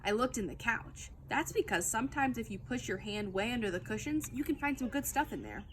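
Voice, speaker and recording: A woman reading a children's book aloud as a storytime reader, in an expressive, emphatic storytelling manner. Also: a little high, fairly quick, slight background noise.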